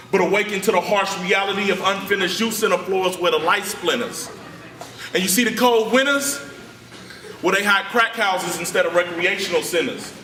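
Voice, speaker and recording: A man performing spoken word poetry with a forceful, declamatory delivery. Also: sits high. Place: large indoor hall with an audience. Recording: echoey hall from far off.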